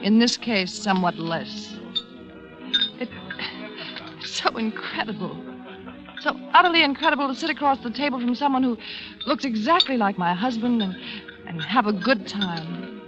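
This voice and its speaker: A woman playing a wealthy, troubled, elegant woman, confiding in a halting, dramatic 1940s radio manner. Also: fairly high pitch, lively intonation, over music. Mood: confessional, intense. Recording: muffled, noisy 1940s radio recording.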